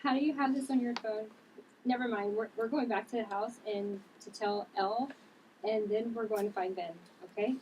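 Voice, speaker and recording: A woman's voice: a little high, fairly even intonation, moderate pace, lightly acted. Blunt, tough sister, read by a woman, noisy distant recording. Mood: dismissive. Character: tough, defensive sister with superhuman strength. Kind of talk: table read of a drama script. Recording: distant mic, clear background noise.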